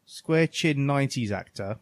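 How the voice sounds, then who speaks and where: A man with a medium-pitched voice banters as a podcast co-host. He is informal and jokey, and the sound is very dry and close. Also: animated, measured pace, no echo. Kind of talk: casual banter.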